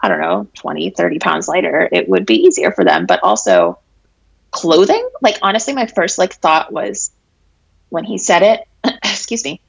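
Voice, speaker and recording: A hoarse, raspy woman in her late twenties speaks candidly in a conversational interview. Her voice sits very low and gravelly, with a breathy, husky edge. The sound is close and dry, with no room around it. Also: sing-song, normal speed, no echo, dull audio. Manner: frank, reflective, many fillers and laughs. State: hoarse from allergies. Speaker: interview guest.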